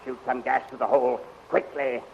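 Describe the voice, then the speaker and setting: An actor playing an imperious, villainous rebel general, barking impatient commands in an old radio serial. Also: male voice, medium pitch, flat delivery, fairly quick, creaky, natural voice. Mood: urgent, vengeful. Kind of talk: 1930s radio serial acting. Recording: muffled, noisy old radio recording.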